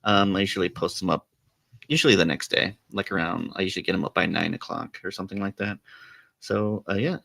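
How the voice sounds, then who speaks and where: A man's voice: deep voice, steady tone, fast, creaky, a bit bright, no echo. A man hosting a casual podcast, rambling through a TV episode with lots of fillers and restarts. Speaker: podcast host. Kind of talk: casual chat about a TV episode.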